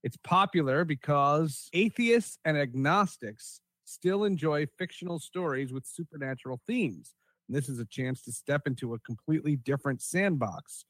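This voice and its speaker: A man hosting a podcast in a casual, conversational way, often halting and repeating his words as he thinks. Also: on the high side, lively intonation, a bit bright, no echo, low-quality recording. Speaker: podcast host.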